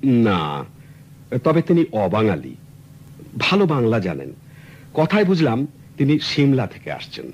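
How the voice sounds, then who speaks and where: A man's voice: mid-range voice, wildly swooping pitch, unhurried, long pauses, darkish tone, breathy edge, lo-fi sound, full character voice. An actor playing a polite, earnest middle-aged client in a radio drama, explaining his story courteously and at length. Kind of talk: 1970s radio drama acting. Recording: old radio recording with faint hiss.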